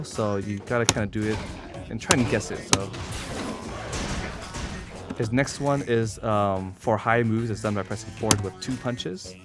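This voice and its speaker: A man giving a casual, informal video game tutorial, explaining things step by step with blunt asides. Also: lowish voice. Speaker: competitive gamer presenting a character guide. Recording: voice over loud game music. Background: video game music.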